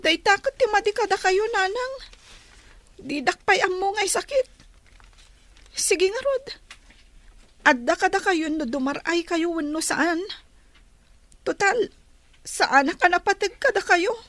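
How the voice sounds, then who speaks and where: A woman's voice: very high voice, animated, a little slow, long pauses, a bit bright, no room sound, exaggerated voice. A woman playing a sensitive, dutiful teenage schoolgirl in a radio drama, with a plaintive manner. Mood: hurt, upset. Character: hurt, dutiful teenage high school girl. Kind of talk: Filipino radio drama acting.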